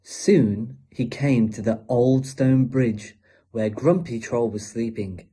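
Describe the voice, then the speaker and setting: A man reads a children's storybook aloud. His voice is middling in pitch, with a dark, relaxed tone and a husky grain. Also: slow pace. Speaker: teacher reading a children's story. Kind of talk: storybook narration.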